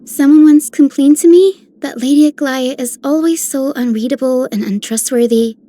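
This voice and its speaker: Young game heroine voiced by a woman: very high, sweet, earnest, full of admiration. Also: normal speed, mellow, lightly acted. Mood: earnest, tender. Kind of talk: dramatic game voice acting.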